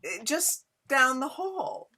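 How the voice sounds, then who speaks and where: A woman's voice: high-pitched, big pitch swings, slow, pushed and bright, exaggerated voice. A woman playing a flirtatious Gilded Age society hostess in a period play reading, speaking in an affected, coy and theatrical way. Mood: flustered, polite. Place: indoors, remote online reading.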